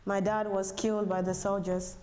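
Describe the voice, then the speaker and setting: A woman's voice: mid-range voice, level tone, moderate pace, darkish tone, low-quality recording. A young woman from Sudan with an East African accent, presenting her personal story. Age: young adult. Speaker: refugee student presenter.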